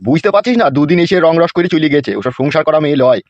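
Cartoon village dad, a man acting: blunt, exasperated, high for a man, muffled audio. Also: rapid-fire, no room sound, close to an everyday voice. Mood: scolding. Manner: scolding, coarse, blunt. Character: gruff, exasperated middle-aged village father. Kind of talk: comic cartoon dialogue. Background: faint music.

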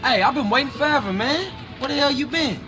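An actor playing a wary, put-upon young American gangster in a video game, slangy and informal. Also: male voice, extremely high pitch, expressive, fairly quick, music in the background. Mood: annoyed, skeptical. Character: street-wise young male gangster, wary, put-upon, plain-spoken. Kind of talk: video game cutscene acting.

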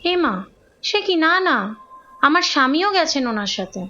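An actress playing a village wife in a horror audio drama, who is prey to an evil spirit. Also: female voice, sing-song, unhurried, pushed and bright, heavily acted. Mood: polite, subdued. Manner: shifts between polite speech and shrill threats. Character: gentle village wife, possessed by a shrieking, defiant evil spirit. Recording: dull audio under music.

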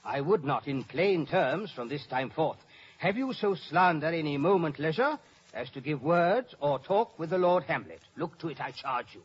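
A man's voice: on the high side, lively intonation, moderate pace, husky. An actor playing a pompous, fussy old father and counsellor, lecturing emphatically in radio Shakespeare style. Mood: scolding, suspicious. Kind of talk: 1950s radio Shakespeare acting. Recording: muffled, noisy old radio broadcast.

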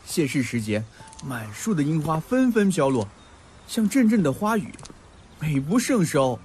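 A man's voice: fairly high pitch, big pitch swings, measured pace, a little mellow. A man playing a learned, earnest and sensitive young male student in an audio drama, talkative and heartfelt. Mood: rapt, lyrical. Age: young adult. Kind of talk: Mandarin audio drama acting. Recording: background music under the voice.

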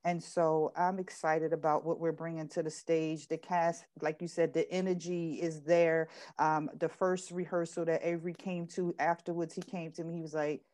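A woman's voice: sits low, flat delivery, moderate pace, hardly any pauses, darkish tone. A middle-aged woman chatting casually and talkatively as an interview guest on a video call. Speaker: interview guest, playwright promoting her play. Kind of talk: casual chat. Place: indoor room at home, on a video call.

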